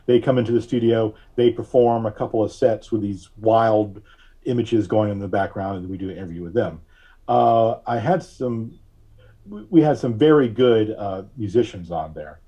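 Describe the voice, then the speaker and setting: A man speaking as a podcast guest, recalling things casually in a halting, deliberate way with frequent long hesitations. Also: lowish voice, lively intonation, warm tone, husky. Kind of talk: casual interview recollection.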